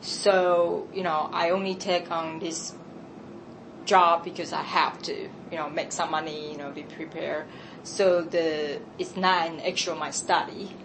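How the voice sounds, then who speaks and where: A woman from Taiwan with a Taiwanese accent, speaking English as a second language, answering interview questions in an earnest, reflective, halting way. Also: fairly low pitch. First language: Chinese. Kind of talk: candid conversational answers. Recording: noisy background.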